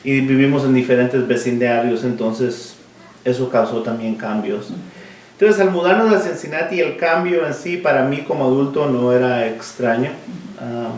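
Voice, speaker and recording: A man in his forties, born in Guatemala and raised in Miami, telling his life story in a relaxed interview, pausing often to search for words and slipping in English words. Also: mid-range voice. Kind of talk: oral history interview. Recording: roomy, mic at a distance, faint noise.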